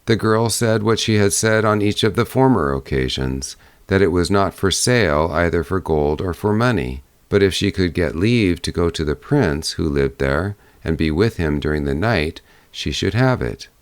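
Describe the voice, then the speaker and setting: A man narrating a fairy tale as an audiobook, in a measured storytelling manner. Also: low voice, lively intonation, clear, resonant tone, no room sound.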